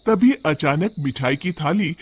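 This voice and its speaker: A man's voice: a little high, animated, unhurried, slightly rough. A man narrating a cartoon story in a dramatic, scripted storytelling style, on a noisy recording.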